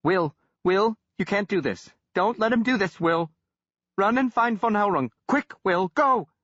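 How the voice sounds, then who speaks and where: A man voicing a captured English spy who pleads and blusters in a shrill, strained voice with an English accent. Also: lively intonation, normal speed, sharp tone, no room sound, dull audio. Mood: pleading.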